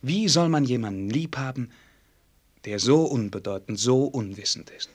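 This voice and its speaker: An actor playing a melancholy, self-doubting young prince in a radio play, speaking formally and earnestly. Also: male voice, medium pitch, normal speed, lightly acted. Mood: bitter self-doubt. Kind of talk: German radio play acting.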